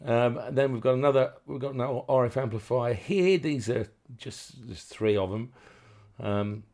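A man presenting as an electronics hobbyist, explaining things informally and haltingly, often correcting himself as he goes. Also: medium pitch, a little mellow. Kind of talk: informal technical walkthrough.

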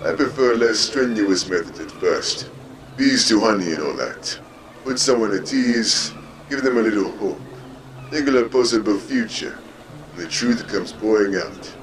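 Low, smugly sinister villain played by a man. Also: animated, normal speed, natural voice. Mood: smug, calm. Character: calm sinister male villain, smugly manipulative. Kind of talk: scripted comic-book drama. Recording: clear background ambience noise.

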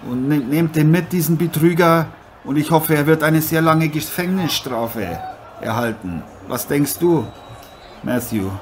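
Man playing a melodramatic, higher-voiced boxing commentator. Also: a little slow, close to an everyday voice. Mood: indignant. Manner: melodramatic, repeating exclamations. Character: excitable American boxer Sugar John commentating, theatrically shocked. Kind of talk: improvised parody live sports commentary.

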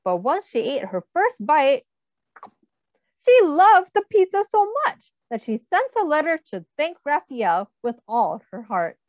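Lively high-voiced woman reading to children. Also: measured pace, no echo, highs cut off. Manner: expressive, explains and asks children questions. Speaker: read-aloud host, teacher. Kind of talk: picture book read-aloud for young children.

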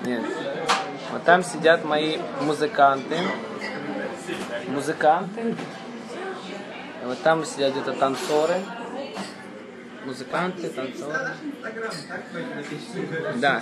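Joking man over loud crowd chatter. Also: on the high side, takes it slowly, long pauses. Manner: joking, teasing, playful. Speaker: singer streaming live with his band. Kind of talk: casual live-stream chat. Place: indoors, at a meal table. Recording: loud crowd noise behind.

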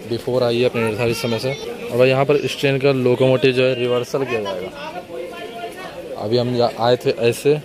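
A man's voice: medium pitch, steady tone, moderate pace, a crowd in the background. A young man narrating a casual train travel vlog to his viewers, filmed on a phone at arm's length on platforms and aboard. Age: young adult. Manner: informative, addresses viewers as friends. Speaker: train vlogger. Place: train and railway platforms, indoors and out. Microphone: phone camera held at arm's length. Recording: noisy train and station ambience.

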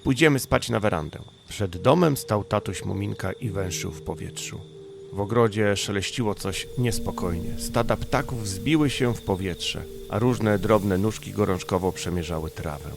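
A man narrates a children's bedtime story in a fairly low voice with noticeable creak. Music plays clearly behind the storytelling. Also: normal speed. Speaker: audiobook narrator.

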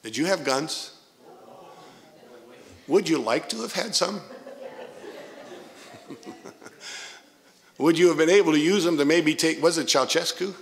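A man's voice: fairly high pitch, slow, vocal fry, long pauses. Older man preaching a folksy sermon. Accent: Midwestern American. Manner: folksy storytelling preacher, emphatic asides. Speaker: pastor. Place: church sanctuary, indoors. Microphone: pulpit microphone.

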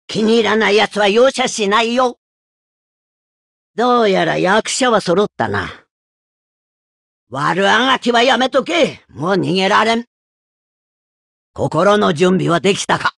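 A woman voicing a gruff, stern old female martial arts master in a video game, with a raspy voice and blunt, old-fashioned phrasing. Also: mid-range voice, a bit bright, no echo, studio quality, full character voice. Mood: wry, brusque. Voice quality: gravelly, raspy. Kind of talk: video game character voice lines.

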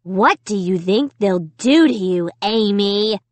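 A woman's voice: medium pitch, expressive, slow pace, no room sound. A sinister living ventriloquist dummy, acted out by a woman narrator in a horror audiobook. The voice is harsh and whispery, dragging out each cruel command with gloating menace, recorded close and dry. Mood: menacing. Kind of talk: dramatized children's horror audiobook.

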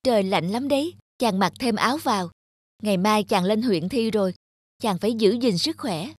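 A woman voicing a gentle, devoted young village wife in an animated folktale, speaking earnestly. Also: mid-range voice, moderate pace, slightly rough, no echo, clean studio sound, close to an everyday voice. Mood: caring. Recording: music under the voice.

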